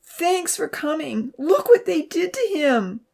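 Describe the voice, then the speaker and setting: A woman's voice: high-pitched, lively intonation, unhurried, creaky, a little mellow, pitched above the performer's own voice, exaggerated voice. A woman voicing a frantic, tearful teenage girl in an audiobook, pleading and wailing with a shaky delivery.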